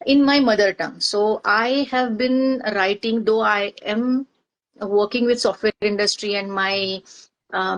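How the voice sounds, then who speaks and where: A middle-aged woman from Maharashtra, India, whose first language is Marathi, speaking as a guest and pausing often as she reflects on her past. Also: mid-range voice, low-quality recording. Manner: hesitant, many pauses and fillers. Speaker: guest, organizational psychologist. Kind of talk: reflective personal interview.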